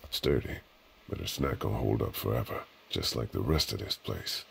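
An actor playing a calm, conscientious survivor in a zombie video game, speaking in a measured, earnest way. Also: male voice, extremely low pitch, creaky, a little hiss or hum, full character voice. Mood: subdued concern.

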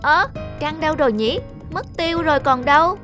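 A woman voicing an excitable young child monkey in a children's cartoon, with a childlike, exclaiming delivery. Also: high voice, a little fast, over music, lightly acted. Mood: indignant, squabbling. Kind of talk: animated children's fairy tale acting.

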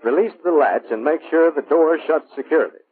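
An American man reading scripted instructional narration for a training film in a measured, clipped, instructive manner. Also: fairly high pitch, slightly rough. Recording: muffled, phone-like vintage military film soundtrack.

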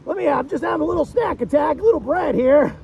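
A man voicing a goofy, wisecracking cartoon duck comedian in a silly, creaky voice, delivering one-liners. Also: extremely high pitch, lively intonation, fast talker, a crowd in the background, exaggerated voice. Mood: cheerful. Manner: silly, punctuates jokes with quacking. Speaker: stand-in comedy host. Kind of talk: one-liner joke routine with quacks.

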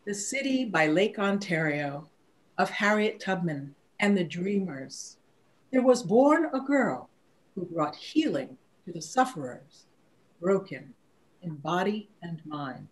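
An older woman reciting poetry in a measured, declamatory manner. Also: on the low side, very animated, rough recording. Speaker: poetry reader.

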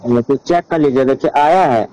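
A man's voice: mid-range voice, normal speed, dark tone. A man on a recorded phone call, giving step-by-step instructions in an insistent, repetitive, directive way. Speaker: phone caller.

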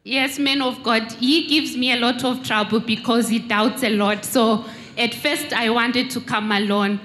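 A woman's voice: a little high, steady tone, unhurried, fairly bright tone, slight background noise. Earnest woman testifying in church. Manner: earnest, confessional. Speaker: congregant. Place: large church hall. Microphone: handheld mic.

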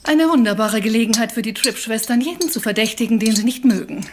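Female, actress playing sardonic embittered wife, fast, fairly high voice, faint clinking noise, radio crime drama. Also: animated, lightly acted. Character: sharp-tongued, embittered doctor's wife.

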